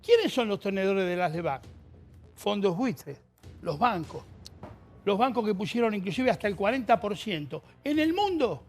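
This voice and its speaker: A man, a panelist on a television debate who speaks haltingly and repeats words. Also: high-pitched, sing-song, faint music behind.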